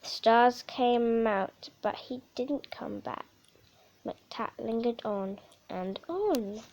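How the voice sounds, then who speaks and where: A woman reading a children's picture book aloud in a theatrical, measured way, with dramatic emphasis. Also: fairly high pitch, a little mellow, muffled recording, a little hiss or hum. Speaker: story reader.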